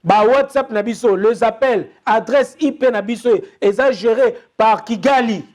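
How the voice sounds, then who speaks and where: Young congolese guy ranting urgently on a news show, pretty high voice. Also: moderate pace, darkish tone. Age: young adult. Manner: urgent, insistent, repetitive appeals. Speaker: news commentator. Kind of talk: impassioned commentary.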